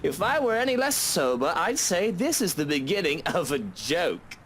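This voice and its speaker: A man's voice: a little high, sing-song, normal speed, fairly bright tone. A man playing an angry, sneering homeowner with a sardonic, theatrical manner in a visual novel game. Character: angry, sneering, foul-mouthed homeowner confronting intruders.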